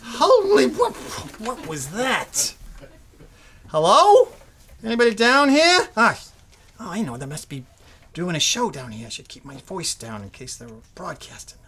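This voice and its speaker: A man's voice: high-pitched, huge pitch swings, a little slow, bright, forceful tone, heavily acted. A man playing an eager, jumpy young studio worker in a live old-time radio drama, folksy and nervous. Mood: startled. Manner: folksy, nervous, muttering to himself, exclaiming. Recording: live stage recording with faint noise.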